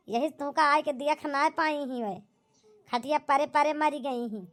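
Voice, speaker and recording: A woman's voice: sits high, a bit bright. A woman voicing a reproachful older village aunt in an amateur cartoon drama, lecturing with an Awadhi accent.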